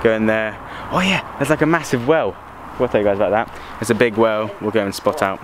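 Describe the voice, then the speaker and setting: A young man vlogging outdoors at night, narrating to a handheld camera in a quick, rambling, hesitant way. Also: on the low side. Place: outdoors at night, overgrown grounds. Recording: noisy outdoor camera audio.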